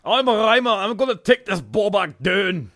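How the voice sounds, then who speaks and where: Man putting on a cocky, animated warrior. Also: high-pitched, moderate pace, no room sound, clean studio sound, voice pushed up. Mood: cocky, taunting. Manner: taunting, bragging. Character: cocky, insult-slinging Scottish male warrior. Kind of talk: improvised comedic game dub.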